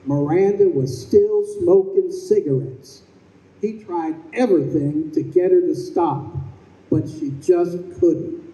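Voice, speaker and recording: A man's voice: a little high, slow, warm tone. A man narrating a scripted old-time radio style drama as a storyteller. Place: convention room stage performance. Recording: echoey room, distant, slightly dull.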